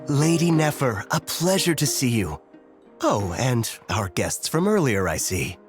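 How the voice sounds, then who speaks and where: A polite, deferential doorkeeper in a video game. His pitch moves a lot, and music plays clearly behind him. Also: big pitch swings, measured pace. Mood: polite, welcoming. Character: courteous, deferential banquet doorkeeper.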